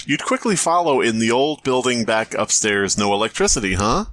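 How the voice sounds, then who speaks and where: A man's voice: mid-range voice, big pitch swings, normal speed, a bit bright, no echo. A man co-hosting a comedy podcast, reading fan fiction aloud and bantering in a mocking, riffing way. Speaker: podcast co-host.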